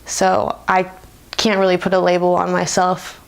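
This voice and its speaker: Young American woman with a creaky voice. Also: on the low side, completely flat delivery, unhurried. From United States. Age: 20s. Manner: informal, often laughs while talking. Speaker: interviewee. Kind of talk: reflective, conversational personal interview.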